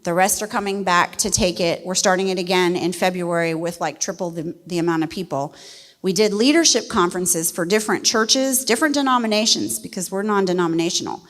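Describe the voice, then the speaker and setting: A middle-aged woman from West Virginia, a missionary speaking to a church congregation in a folksy, animated way. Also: on the low side, a little fast. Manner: folksy and animated, laughs easily. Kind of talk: church testimony talk. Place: church sanctuary. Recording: long reverb of a church hall.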